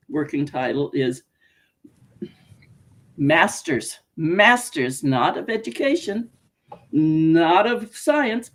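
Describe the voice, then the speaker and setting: A woman from Canada hosting a casual interview over a video call, chatty and laughing often. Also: lowish voice. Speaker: podcast host.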